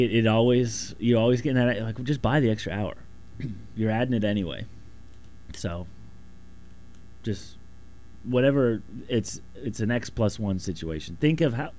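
A man with a slightly raspy voice talking casually in a loose, rambling, self-deprecating way. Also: lowish voice, moderate pace, warm tone. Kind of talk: casual monologue.

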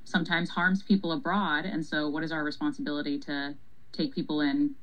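A woman's voice: sits low, little pitch movement, fairly quick, rough recording. A young woman from Paraguay, a city council member, answering interview questions in a thoughtful, conversational way with frequent ums and easy laughs.

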